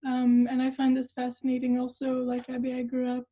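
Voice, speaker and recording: High, flat-voiced woman on dull audio. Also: brisk, slightly rough. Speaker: panel speaker. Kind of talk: casual talk.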